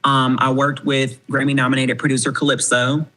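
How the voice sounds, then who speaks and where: A man in his twenties, a podcast guest talking casually, frank and animated, swearing freely. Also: mid-range voice, moderate pace. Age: 20s.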